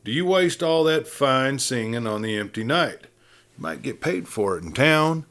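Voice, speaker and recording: Man voicing a gruff, growling Old West sheriff. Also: medium pitch, animated, a bit bright, voice pushed up. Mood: grumbling. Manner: dry, blunt, drawn-out cowboy talk.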